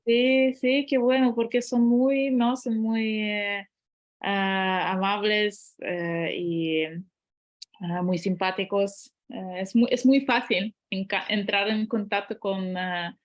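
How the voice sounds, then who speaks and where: Woman, mid-pitched, halting speech with lots of fillers, casual, dull lo-fi call audio. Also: steady tone. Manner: hesitant, many fillers and restarts. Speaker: guest polyglot. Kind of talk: casual conversation in a second language.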